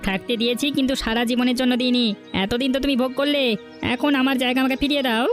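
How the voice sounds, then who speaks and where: A woman's voice: high voice, steady tone, rapid-fire, soft background music, close to an everyday voice. A woman voicing a sly, menacing landlord in a cartoon folktale, threatening and condescending. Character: menacing, sly landlord man demanding a house. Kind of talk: Bengali cartoon folktale voice acting.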